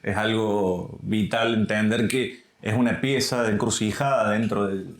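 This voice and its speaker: A man's voice: on the low side, fairly even intonation, unhurried. An Argentine man speaking as an interview guest, explaining his points in an earnest, teacherly way. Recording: echoey room.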